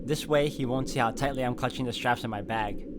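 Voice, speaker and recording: A man's voice: medium pitch, brisk, heavy background noise. A man hosting a gameplay video, reading visual novel narration aloud as a story.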